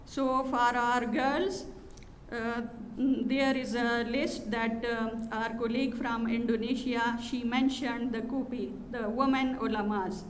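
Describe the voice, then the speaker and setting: Measured woman at a podium. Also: on the high side, fairly even intonation. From Pakistan. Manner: measured, with frequent pauses and fillers. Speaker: conference speaker from an NGO. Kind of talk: formal conference address. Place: hotel conference hall. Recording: large hall reverb, faint noise.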